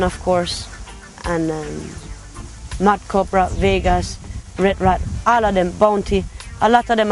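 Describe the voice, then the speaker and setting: A young woman from Denmark speaks in a talkative, casual way, her English mixed with patois turns of phrase. She sounds like a competition finalist telling her own story. Faint music plays behind her. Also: medium pitch. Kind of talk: casual interview.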